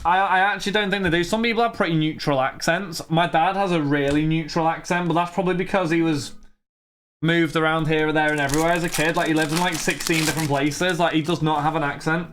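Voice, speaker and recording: Young man, casual streamer, rambling chat, fairly high voice. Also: steady tone, fast, a bit bright, slight background noise. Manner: rambling, chatty, answers chat messages. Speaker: streamer opening trading cards.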